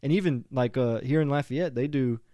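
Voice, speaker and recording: An American man chats casually as a show co-host, with a dry, close sound and an average pitch. He tells stories in an animated, joking manner, in loose everyday phrasing. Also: fairly quick, no room sound. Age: adult parent of a young child. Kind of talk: casual chat, storytelling.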